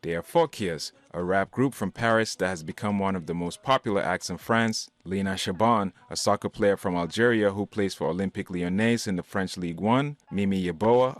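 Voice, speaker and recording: A man reporting, giving a measured, scripted news narration. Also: low-pitched, dry recording. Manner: measured, even delivery.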